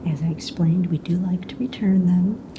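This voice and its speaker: A woman playing a calm, friendly mistress of ceremonies in a fantasy ASMR roleplay, explaining things in a soft, casual, slightly hesitant whisper. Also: moderate pace, dull audio, close to an everyday voice. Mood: helpful, patient. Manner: casual, hesitant, explanatory, matter-of-fact about rules and danger. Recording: noisy close recording with low rumble.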